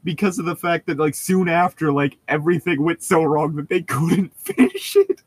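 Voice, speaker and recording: A man with a slightly rough, husky voice chats casually as a podcast co-host. His pitch moves around animatedly as he gives blunt opinions. The recording is dry, with no room sound. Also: big pitch swings, normal speed, dry recording. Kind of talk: casual chat.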